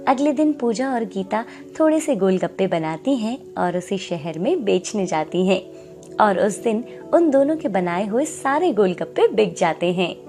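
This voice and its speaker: A woman narrates a scripted moral tale. Her pitch is middling, and soft music runs faintly behind the narration. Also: animated, a little slow.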